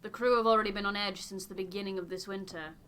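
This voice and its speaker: Game role: stiff male polar scientist, played by a woman; precise, formal, aloof, forceful bright tone, faint noise behind. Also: mid-range voice, steady tone, fast, close to an everyday voice. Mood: grave, formal.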